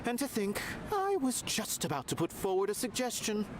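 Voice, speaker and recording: An actor playing a haughty, philosophical scholar in a game, formal, pedantic and pompous. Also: male voice, high-pitched, wildly swooping pitch, normal speed, heavy background noise. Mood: aloof. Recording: game dialogue with music behind.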